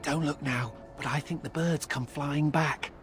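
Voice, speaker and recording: Flippant young rebel mage played by a man for fantasy game: slightly high, animated, wry, music beneath. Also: measured pace, lightly acted. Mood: teasing. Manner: wry, informal, expressive. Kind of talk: fantasy game dialogue acting.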